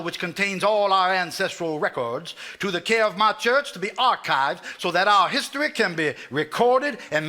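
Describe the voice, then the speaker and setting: A man with a Southern US accent playing a formal, measured family lawyer reading a will aloud in a live stage drama. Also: high voice, sharp tone. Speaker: stage actor.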